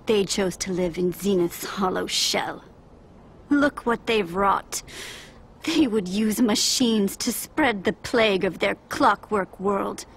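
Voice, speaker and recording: A woman's voice: mid-range voice, slight background noise. A woman voicing a menacing, prophetic zealot in a solemn, declamatory way for a dramatic video game.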